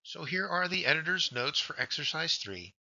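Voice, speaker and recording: Guy teaching a workshop, really bright forceful voice, dry close mic, reads instructions step by step. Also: a little high, big pitch swings, moderate pace, creaky, dry recording, muffled recording. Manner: step-by-step, instructive, addresses learners directly. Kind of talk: scripted instructional narration.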